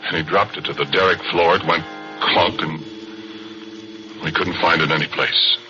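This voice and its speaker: An actor playing a spooked, plain-spoken oil-field worker in a 1940s radio drama, speaking folksy and rough. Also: male voice, deep voice, fairly even intonation, normal speed, pushed and bright. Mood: haunted, uneasy. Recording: dull 1940s radio broadcast. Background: music.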